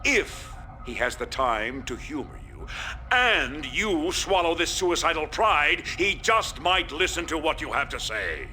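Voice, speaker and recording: A blustering alien warrior colonel in a sci-fi game, with a very sharp, forceful voice. He is theatrical and sardonic, spitting scorn at his juniors over background music. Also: a little high, big pitch swings, a little slow, exaggerated voice. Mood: scornful bluster. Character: blustering, scornful Klingon colonel commanding a warship. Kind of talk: video game cutscene acting.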